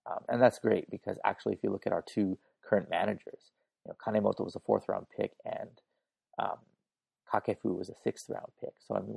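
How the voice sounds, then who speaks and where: A man hosting a casual solo podcast, hoarse and raspy, chatting informally and thinking aloud on a makeshift mic setup. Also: fairly low pitch, mellow, no room sound. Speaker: podcast host. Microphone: makeshift setup without proper recording gear.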